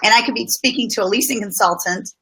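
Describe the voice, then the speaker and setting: Bright-voiced middle-aged businesswoman. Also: mid-range voice, fast talker, vocal fry, husky, rough recording. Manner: thoughtful, explains with personal examples. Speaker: guest speaker on business. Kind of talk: casual conversational interview.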